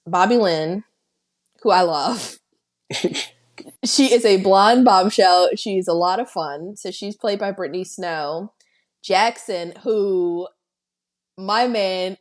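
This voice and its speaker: A woman co-hosting a casual podcast about films. Her voice sits at an ordinary middle pitch, and she walks through the plot in a chatty way, breaking into lively asides. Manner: chatty, retells scenes with excited quoted asides. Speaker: podcast co-host. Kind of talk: casual film-recap chat.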